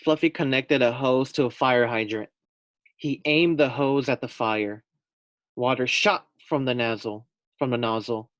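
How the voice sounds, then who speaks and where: Male teacher reading a picture book to small children: medium pitch, expressive, engages listeners directly, dry sound. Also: measured pace, no room sound. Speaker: teacher reading a storybook aloud. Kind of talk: children's read-aloud with questions to pupils.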